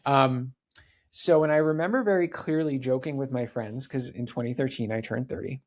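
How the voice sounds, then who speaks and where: A man's voice: medium pitch, moderate pace. A man in his forties, a podcast guest, chatting casually and dropping lots of "like" and "right?" asides. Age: 40s. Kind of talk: casual interview chat.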